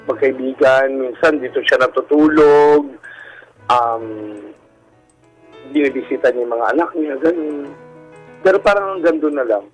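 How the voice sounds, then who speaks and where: A man's voice: medium pitch, unhurried, long pauses, poor audio. Middle-aged man, music underneath. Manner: polite, uses respectful markers, mixes in English. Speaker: guest caller, bar comedian. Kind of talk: personal story told in a radio interview. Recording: music bed under radio talk.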